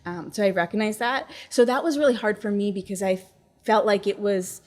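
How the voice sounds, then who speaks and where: Woman, young adult, interview guest, calm, soothing voice, reflective. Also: medium pitch, brisk. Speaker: guest, neuroscientist and startup founder. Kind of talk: casual interview conversation.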